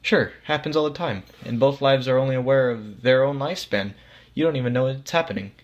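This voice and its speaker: A man's voice: medium pitch, fairly quick, dull audio, pitched above the performer's own voice, lightly acted. A man voicing God in a story as a casual, reassuring authority figure who explains things patiently and matter-of-factly. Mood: earnest, explaining. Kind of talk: acted storybook dialogue.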